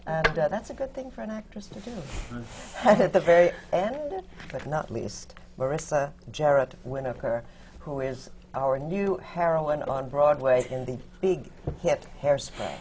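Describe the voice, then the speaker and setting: A woman's voice: sits low, a little slow, a little mellow, slight background noise. A woman moderating a theater panel discussion and introducing the guests one by one.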